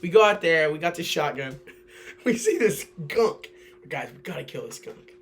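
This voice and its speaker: A young man speaks in a high-pitched, sharp and bright voice. He tells stories loosely and informally, as if among friends. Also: lively intonation, normal speed. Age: young adult. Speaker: podcast host. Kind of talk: casual anecdotal storytelling with friends.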